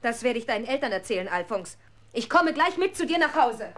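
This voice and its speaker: A woman's voice: fairly high pitch, big pitch swings, a little fast, fairly bright tone. A woman playing a stern, indignant mother who scolds and accuses in a children's radio play. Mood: stern, interrogating.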